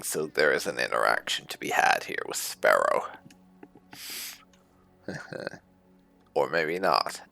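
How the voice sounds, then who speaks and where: A man hosting a gaming video with casual roleplay commentary, speaking in a halting, thoughtful way on a close mic. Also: extremely low pitch, creaky. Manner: halting, thoughtful delivery with murmured hums. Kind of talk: casual let's play commentary, reading dialogue choices aloud in roleplay.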